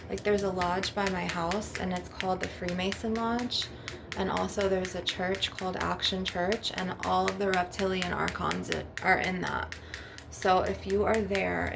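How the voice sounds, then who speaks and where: A woman with heavy vocal fry hosts a rambling, casual ASMR monologue. Her intonation stays fairly even as she keeps checking in with the listener. The recording is noticeably noisy, with clicks and taps on crystal and glass. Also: on the low side. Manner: casual, repetitive tag questions, frequent swearing. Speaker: host of an ASMR channel. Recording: noisy, with clicking and tapping sounds. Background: crystal and glass tapping.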